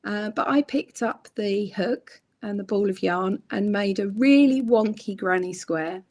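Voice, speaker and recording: A middle-aged woman with a croaky voice from a cold, telling her story slowly as a guest on a video call. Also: medium pitch, a little mellow. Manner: slow, reflective storytelling with many ums. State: hoarse from a cold. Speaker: guest crochet designer and author. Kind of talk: casual chat on a livestream.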